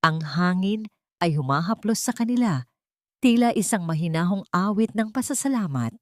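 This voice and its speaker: A woman with a Filipino accent narrating a scripted dramatic story in a measured, solemn way, in short phrases. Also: fairly low pitch, animated, no room sound.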